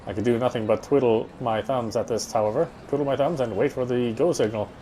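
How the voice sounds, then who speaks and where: A man's voice: mid-range voice, a little fast, warm tone, a little hiss or hum. A man reading visual novel narration aloud, sometimes stumbling and going back over phrases. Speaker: game streamer reading aloud.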